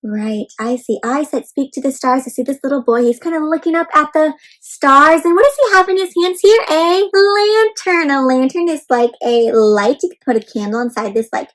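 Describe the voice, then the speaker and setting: A woman's voice: high voice, moderate pace, hardly any pauses. A woman hosting an interactive storybook read-aloud for young children, often putting questions to her listeners and addressing them as friends. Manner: asks the listeners frequent questions.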